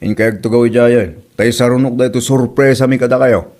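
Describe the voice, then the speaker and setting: An actor playing an excitable, thrifty husband who bickers in a comedy drama, with music behind him. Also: male voice, medium pitch, brisk, natural voice. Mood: animated. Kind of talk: comedy radio-style drama acting.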